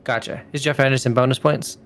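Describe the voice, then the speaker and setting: Gravelly, informal male tabletop player. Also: mid-range voice, fairly even intonation, fast talker, fairly bright tone. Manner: informal, slangy. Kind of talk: casual chat during a tabletop game.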